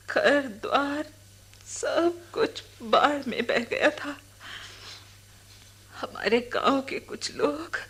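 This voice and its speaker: High, bright, bitter village widow. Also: female voice, full character voice. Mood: sorrowful recounting. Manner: emphatic, reproachful, emotional. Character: bitter, grieving poor village widow, fiery-tempered. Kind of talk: Hindi radio drama acting.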